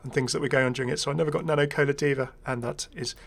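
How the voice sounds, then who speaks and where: A man's voice: medium pitch, fairly even intonation, rapid-fire, creaky. A man giving casual, rambling first-impressions commentary as a gaming video creator, chatty, enthusiastic and self-deprecating.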